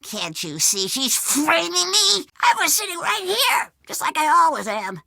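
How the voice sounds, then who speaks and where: A woman's voice: very high-pitched, sing-song, unhurried, gravelly, pushed and bright, no room sound, studio quality, full character voice. A woman voicing a defensive stuffed teddy bear in a video game, pleading and stammering with melodrama. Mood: indignant, pleading.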